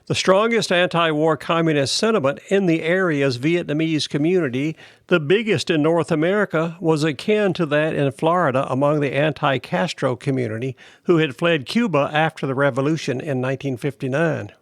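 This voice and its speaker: A man hosting a podcast, reading a news article aloud in a steady, plain delivery. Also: a little high, moderate pace, no echo, clean studio sound. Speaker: podcast host.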